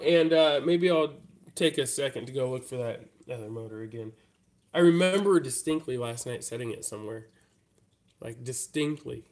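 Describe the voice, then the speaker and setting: A man with a stuffy, congested voice from a head cold, chatting casually with live-stream viewers while working at a bench. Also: fairly high pitch. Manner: laid-back, chatty, talks straight to viewers. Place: hobby workbench.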